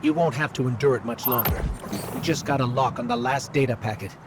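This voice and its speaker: A man's voice: medium pitch, very noisy, lightly acted. A man voicing an earnest little robot helper. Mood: focused. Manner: brisk, attentive, matter-of-fact. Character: small floating robot companion, earnest and helpful. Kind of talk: video game voice acting. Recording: game audio with very loud music under.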